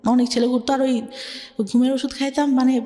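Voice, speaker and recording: Soft, hesitant young Bangladeshi woman. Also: high-pitched, little pitch movement, brisk, big-room sound, soft background music. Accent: Bangladeshi Bengali. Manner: hesitant, soft, many filler words. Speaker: interview guest. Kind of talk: personal life story in conversation.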